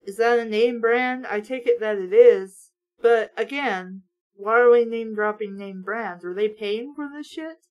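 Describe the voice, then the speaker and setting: A woman's voice: on the high side, a little slow, highs cut off. A woman reading a story aloud and breaking in with sarcastic, exasperated, sweary commentary as host of a mock book show.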